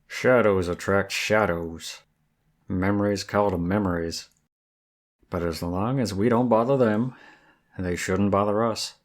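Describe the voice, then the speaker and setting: A man's voice: lowish voice, unhurried, close to an everyday voice. A man voicing a flirty, friendly werewolf guide in an ASMR roleplay, with a folksy, teasing Southern US drawl. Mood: calm, ominous. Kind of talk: ASMR roleplay, intimate one-sided dialogue.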